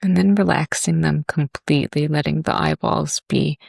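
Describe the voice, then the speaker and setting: A woman leads a guided body scan with a low voice that has a creaky edge. She speaks gently and leaves long pauses between phrases. The sound is close and dry. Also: steady tone, dry recording. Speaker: yoga and meditation teacher.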